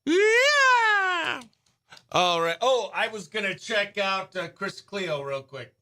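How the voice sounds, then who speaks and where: A man hosting a radio show, chatting in an informal, excitable, slangy way. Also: sits high, slow. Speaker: radio host. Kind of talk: casual behind-the-scenes chat and upbeat radio interview.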